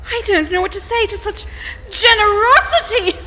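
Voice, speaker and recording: A woman playing a gracious, flustered charity gentlewoman in a live radio play, speaking haltingly and with genteel emphasis. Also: very high voice. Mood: astonished. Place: large church, live performance. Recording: echoey hall, noisy live ambience.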